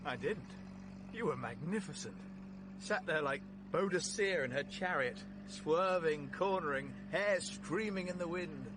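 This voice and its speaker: Naive young gentleman, English accent, polite, nervous, high lively voice, old radio drama, noisy recording. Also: unhurried. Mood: admiring, bashful. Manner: well-mannered, hesitant, boyish. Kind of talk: vintage BBC radio play acting.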